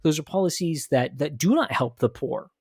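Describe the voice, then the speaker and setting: A man's voice: on the high side, expressive, normal speed, darkish tone, husky, dry recording, studio quality. A man hosting a commentary podcast, arguing emphatically with rhetorical questions and repeated phrases. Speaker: podcast host. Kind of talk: opinionated commentary.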